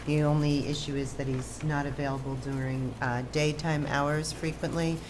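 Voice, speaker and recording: A woman with an American accent and a very low voice chairs a public meeting. She gives informal updates in measured, hesitant phrases with little melodic movement. Clear background noise from the room runs under her speech. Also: flat delivery. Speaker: town supervisor chairing a meeting. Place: indoor town board meeting.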